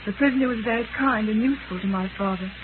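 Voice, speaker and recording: An actress playing a gentle, anxious young lady witness in a 1930s radio drama, earnest and hesitant. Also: a little high, quick pace, close to an everyday voice. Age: young adult. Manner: earnest, hesitant, polite delivery. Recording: noisy, tinny 1930s radio broadcast.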